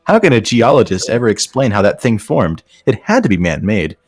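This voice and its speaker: Low-voiced man riffing on fan fiction, echoey. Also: wildly swooping pitch, fairly quick, clean studio sound, soft background music. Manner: amused, incredulous. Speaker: commentator reading fan fiction. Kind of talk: mock dramatic reading with casual banter.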